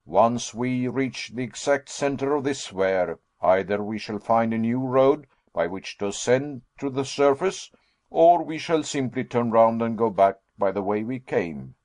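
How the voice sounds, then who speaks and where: A man voicing a jovial, excitable elderly professor and explorer who speaks in a hearty, booming voice. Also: unhurried, dry recording, close to an everyday voice.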